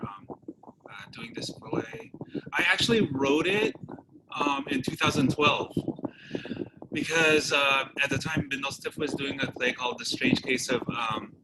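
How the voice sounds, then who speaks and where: A man, a playwright, answering casually at an online talk-back. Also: fairly high pitch. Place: video call, noisy background. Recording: very noisy video call audio.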